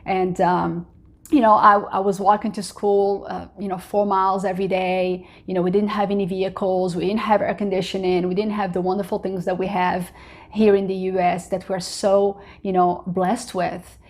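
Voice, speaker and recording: A woman's voice: mid-range voice, little pitch movement, normal speed. A middle-aged woman from Morocco, English not her first language, hosting her own vlog and talking earnestly about her life, with many "you know"s and "uh"s. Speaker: vlogger host. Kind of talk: personal motivational talk to camera.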